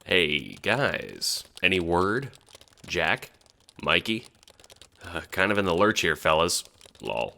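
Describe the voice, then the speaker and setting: A man acts out a cocky teenage gamer bro in an audio drama. He throws around jokey buddy slang in a low, bright voice with swooping intonation. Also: very animated, takes it slowly, creaky, pauses often, slight background noise, full character voice. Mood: casual, unsure.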